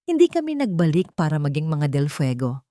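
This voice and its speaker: A woman narrator voicing a calm, resolute wronged mother, a former housemaid, in a dramatic story. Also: low-pitched, fairly quick, no room sound, studio quality. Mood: calm resolve. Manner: calm, firm.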